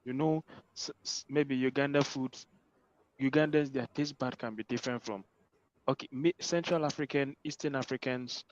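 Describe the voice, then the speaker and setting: A man from Ghana in a casual football debate, calmly explaining and checking that the others understand him. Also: mid-range voice, moderate pace, dull audio.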